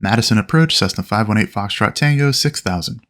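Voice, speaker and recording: A man with a fairly low voice narrates as a flight instructor. He explains methodically, in an orderly teaching style suited to a flight training lesson. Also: a little fast, fairly bright tone. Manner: clear, methodical explaining with aviation radio phraseology.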